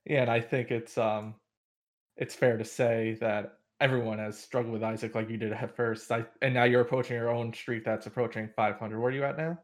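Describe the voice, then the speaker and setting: A man's voice: medium pitch, little pitch movement, brisk. A young man hosting a podcast, opening with a read intro and then asking casual questions. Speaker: podcast host. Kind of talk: podcast interview.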